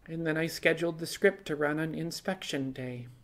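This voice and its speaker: A man's voice: fairly high pitch, normal speed, pitched above the performer's own voice, natural voice. A man voicing a defiant, earnest young female hacker on the run in an audiobook, explaining herself with wry asides. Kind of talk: audiobook character dialogue.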